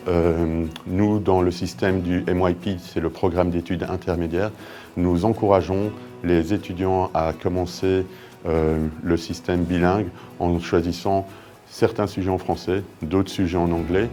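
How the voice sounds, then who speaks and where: A school staff man explaining the program in an interview, pausing with fillers. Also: low-pitched, flat delivery, dark tone. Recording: music bed underneath.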